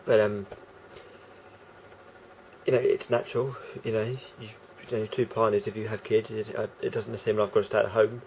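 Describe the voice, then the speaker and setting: A man with a croaky voice talking casually to camera as a vlogger, rambling and hesitant with many fillers and restarts. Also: lowish voice, level tone, a little fast, darkish tone, slight background noise, low-quality recording.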